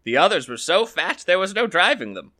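A man's voice: high-pitched, big pitch swings, brisk, pushed and bright, voice pushed up, full character voice. A man voicing a sly young farmer trickster, wheedling and boastful, in a raised voice.

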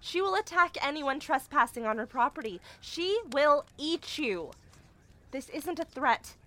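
An earnest young witch in an audio drama. Her voice is high and bright, and she speaks with emphatic, exasperated urgency. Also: animated, unhurried, heavily acted. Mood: urgent warning. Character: flustered, earnest young woman witch warning trespassers.

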